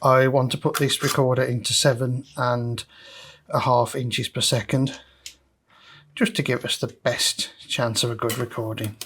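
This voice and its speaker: A man's voice: medium pitch, flat delivery, a little slow, noisy background. A man hosting a repair video, casually explaining each step as he works through a hands-on demonstration. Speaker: host of a vintage audio repair video.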